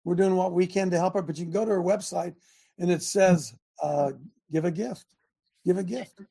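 A man's voice: high voice, moderate pace, vocal fry, warm tone, breathy edge. A man hosting a casual talk show, folksy and repetitive, often saying amen. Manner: folksy, repeats phrases, says amen often. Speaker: host.

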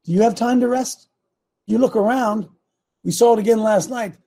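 An older man in his sixties preaches with fervent, repetitive drive, climbing toward shouts. His voice sits high but sounds mellow and dark. It carries a gravelly, husky edge. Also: moderate pace. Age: 60s. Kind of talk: sermon.

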